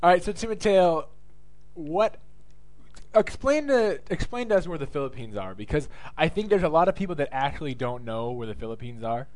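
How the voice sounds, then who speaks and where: A man's voice: fairly high pitch, sing-song, a little fast. A young man hosting a casual podcast, teasing his guest in a playful way with lots of fillers. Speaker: podcast host. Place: student studio or room.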